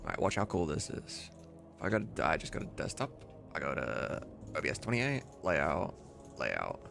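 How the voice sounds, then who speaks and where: A man's voice: very deep voice, huge pitch swings, normal speed, gravelly, a bit bright, soft background music. A man chatting casually and informally as a livestream host, with some mild swearing. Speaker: livestreamer and host of a game voice-dubbing mod team.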